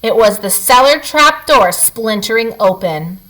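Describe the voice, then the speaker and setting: Animated, bright-voiced woman reading a storybook. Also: on the high side, unhurried, poor audio. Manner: animated, dramatic emphasis, addressing young listeners. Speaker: read-aloud storyteller. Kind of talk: expressive children's picture-book read-aloud.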